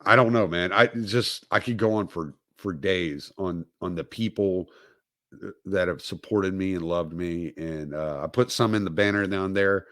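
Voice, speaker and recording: A man hosting a casual livestream, talking in a halting, rambling way with many fillers and restarts. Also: sits low, a bit bright, dry recording, studio quality. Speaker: podcast host.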